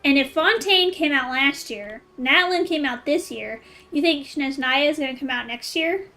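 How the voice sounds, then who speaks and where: High-voiced woman chatting over a game. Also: moderate pace, bright, forceful tone, soft background music. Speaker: game streamer. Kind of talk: casual chat over gameplay.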